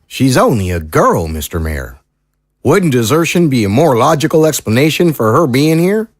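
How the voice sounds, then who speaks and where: A man's voice: medium pitch, very animated, moderate pace, dry recording, clean studio sound. A man playing a reasonable, protective sheriff in an audio drama, respectful and reasoned in how he talks. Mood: reasoning, firm. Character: fair-minded, protective, reasonable male sheriff. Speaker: voice actor. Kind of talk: scripted audio drama acting.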